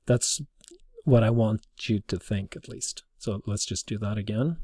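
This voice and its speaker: A man giving an informal, halting explanation as a teacher demonstrating something to a class. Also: fairly low pitch, warm tone.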